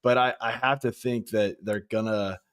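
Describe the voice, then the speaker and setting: A man's voice: lowish voice, fairly even intonation, normal speed, fairly bright tone, dry recording, clean studio sound. A man in his thirties, a guest analyst on a sports podcast, talking casually and rambling with lots of "I mean.". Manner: rambling, full of restarts and "I mean". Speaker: guest fantasy football analyst.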